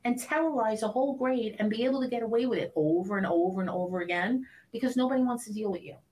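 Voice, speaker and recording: Excitable woman in her fifties hosting. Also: fairly high pitch, fast talker, rough recording. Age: 50s. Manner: enthusiastic, emphatic, rambling on tangents. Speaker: podcast host. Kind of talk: casual conversational interview.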